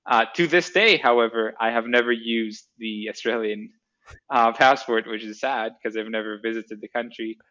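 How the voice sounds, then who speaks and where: A man's voice: medium pitch, normal speed, pushed and bright, lo-fi sound. A man from Greece, a startup co-founder, answering interview questions in a hesitant way with many fillers and restarts. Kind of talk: casual interview.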